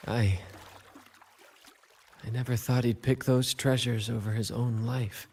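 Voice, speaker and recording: A man playing an earnest, thoughtful adventurer in a video game, speaking sincerely and in measured phrases. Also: medium pitch, fairly even intonation, darkish tone, slight background noise, natural voice. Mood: reflective, earnest. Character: earnest, thoughtful male adventurer and ship captain. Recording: faint music behind the voice.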